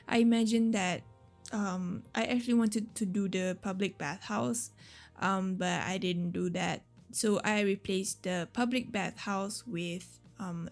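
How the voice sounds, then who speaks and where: A woman giving casual, unscripted voice-over commentary on a game build, rambling and unsure, with a slightly raspy, tired voice. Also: mid-range voice. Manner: rambling, unsure, apologetic, giggly. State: voice tired from talking a lot. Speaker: gaming video creator narrating a build. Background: background music.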